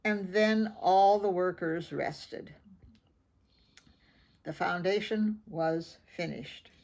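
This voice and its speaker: Creaky-voiced woman reading a picture book. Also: on the low side, very slow. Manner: expressive, informal asides, acts out dialogue. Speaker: children's picture book reader. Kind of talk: read-aloud storytelling with asides about pictures.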